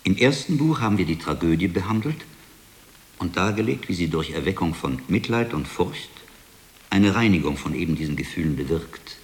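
An actor playing a shrewd, learned monk investigator in a German radio drama, speaking in a deliberate, insistent, articulate way. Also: male voice, sits low, animated. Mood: solemn reading. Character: shrewd, learned middle-aged monk investigator, William of Baskerville. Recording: long hall reverb, background noise.

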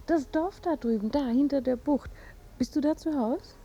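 Very dark-toned, wistful woman. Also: high-pitched, normal speed. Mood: tender. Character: wistful, gentle, curious woman visitor. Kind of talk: 1950s German radio drama acting. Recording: muffled old radio recording, faint noise.

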